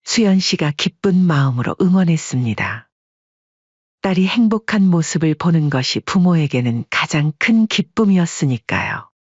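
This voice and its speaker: A woman narrating a family story in an even, storytelling delivery. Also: sits low, normal speed, husky, no room sound, highs cut off.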